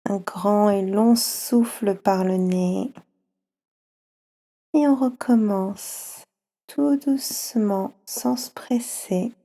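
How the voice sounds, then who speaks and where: A woman with a French accent guiding children through a slow yoga nidra relaxation, speaking softly and soothingly with long pauses. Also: medium pitch.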